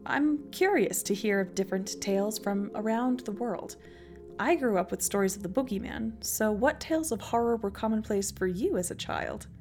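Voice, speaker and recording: A woman podcast host giving measured scripted narration and reading a poem aloud. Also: mid-range voice, animated, music in the background.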